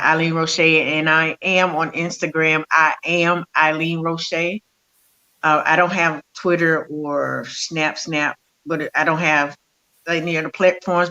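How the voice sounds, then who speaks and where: A woman with a bright, sharp-edged voice speaks softly and hesitantly on a video call. Her audio is dull and lo-fi, as if through a cheap laptop mic. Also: low voice. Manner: soft-spoken and hesitant, calls herself shy. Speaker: stage actor. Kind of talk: casual video call interview.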